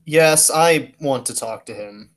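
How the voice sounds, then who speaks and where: Detective lead for radio play , male actor: mid-pitched, businesslike, earnest, questioning. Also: takes it slowly, a bit bright. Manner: earnest, questioning, matter-of-fact. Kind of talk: 1940s radio play acting.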